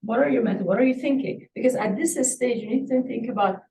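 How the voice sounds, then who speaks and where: A woman with a soft, mellow tone speaks as an instructor reviewing student work, pressing with questions. Her voice comes from some distance away in a reverberant room. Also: fairly high pitch, fast talker, creaky, a little hiss or hum. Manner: probing, asking follow-up questions. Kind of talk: spoken question-and-feedback session. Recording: echoey room, distant microphone.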